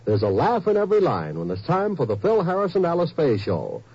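Mellow, lively 1950s radio announcer. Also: male voice, fairly high pitch, husky, no room sound. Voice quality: polished, brisk. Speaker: network announcer. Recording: dull old radio transcription.

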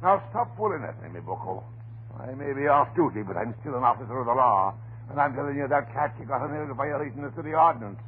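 A man acts a folksy, blustering desk sergeant from a vintage radio play, talkative and stubborn. His delivery swings widely in pitch. The old recording is narrow and tinny, with some hiss. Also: animated, fast, lightly acted. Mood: indignant, insistent. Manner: blustering, garrulous, folksy turns of phrase. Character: folksy, chatty, stubborn off-duty police desk sergeant neighbor. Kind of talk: old-time radio drama acting.

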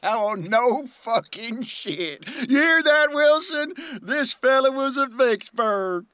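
An actor playing a brash, slippery Confederate veteran turned robber, with folksy, excitable, rambling talk in a Western audio drama. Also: male voice, extremely high pitch, takes it slowly, clean tone, no echo, exaggerated voice. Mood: cocky.

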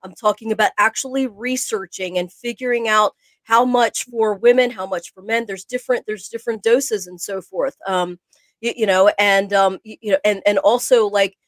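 A woman's voice: mid-range voice, fairly even intonation, moderate pace, dry recording. A woman co-hosting a casual podcast chat, rambling and often groping for words. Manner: rambling, hunts for words, many fillers. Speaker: podcast co-host.

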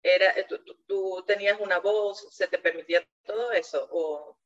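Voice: medium pitch, fairly even intonation, moderate pace. An interviewer asking probing questions, often rephrasing them as they go. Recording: dull lo-fi sound.

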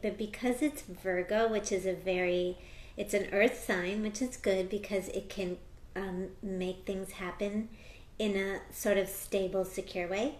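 An American woman speaking as an astrologer and tarot reader, chatting casually in an interview with bursts of excitement. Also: medium pitch, roomy sound. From United States. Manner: animated, quick bursts of excitement.

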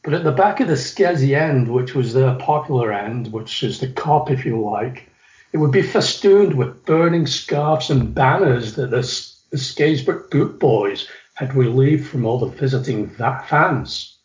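A man's voice: medium pitch, animated, unhurried, darkish tone. A middle-aged man, telling stories from his youth as an interview guest. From England. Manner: storytelling, reflective, with some restarts. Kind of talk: reminiscing interview talk. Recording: echoey, slightly dull recording.

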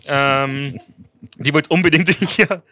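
A man's voice: on the high side, slow pace, sharp tone, no room sound, studio quality. A man co-hosting a casual podcast, rambling loosely, swearing casually and laughing often. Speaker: podcast co-host. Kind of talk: casual chat.

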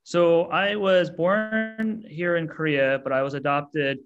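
An American man in his thirties, a startup CEO answering interview questions in a relaxed way with many fillers. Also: fairly high pitch, takes it slowly, clean tone, dull audio. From United States. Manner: relaxed, many ums and you-knows.

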